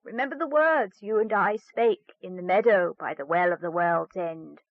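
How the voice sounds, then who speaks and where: A woman voicing an enchanted frog in a fairy tale, croaking coaxing, singsong pleas full of endearments. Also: fairly low pitch, normal speed, dry recording, phone-quality sound, lightly acted. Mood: pleading, insistent. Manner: singsong, rhyming entreaties with endearments. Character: goggle-eyed enchanted frog, coaxing and insistent. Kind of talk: fairy tale storybook reading.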